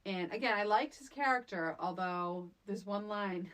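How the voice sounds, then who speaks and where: Sarcastic woman with a mid-pitched voice. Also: normal speed, a bit bright. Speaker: podcast co-host. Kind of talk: casual comedic chat recapping a film.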